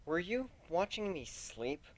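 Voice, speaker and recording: A man's voice: high-pitched, very animated, slow pace, no room sound, pitched above the performer's own voice, heavily acted. A man voicing an earnest, self-conscious and easily bewildered male pegasus pony in a dramatic story reading. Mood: wary, puzzled.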